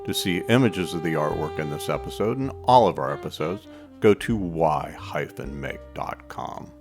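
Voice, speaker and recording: Very deep-voiced male podcast host. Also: expressive, over music. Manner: warm, admiring, measured delivery. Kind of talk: scripted podcast narration.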